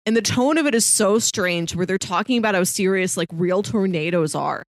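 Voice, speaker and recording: A woman's voice: mid-range voice, normal speed, no echo. Chatty woman, close dry sound. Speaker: podcast co-host. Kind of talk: casual movie discussion.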